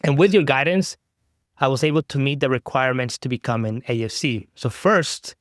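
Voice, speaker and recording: A man hosting a podcast, asking his guest measured, earnest questions. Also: mid-range voice, no room sound, studio quality. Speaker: podcast host. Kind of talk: conversational interview.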